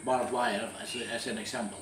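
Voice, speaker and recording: A man's voice: mid-range voice, little pitch movement, fairly quick, a bit bright. A man in his eighties from Indonesia, recalling his life in an interview, often restarting and repeating words. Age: 80s. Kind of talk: recalled wartime memories, conversational. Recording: roomy echo, distant mic, faint hum.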